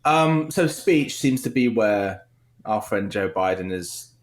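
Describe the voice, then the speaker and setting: A British man in his twenties talks on a casual quiz podcast in a slightly low voice. He rambles and restarts his sentences, poking fun at himself as he goes. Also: animated. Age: 20s. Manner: rambling, self-deprecating, halting restarts. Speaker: quiz show panelist.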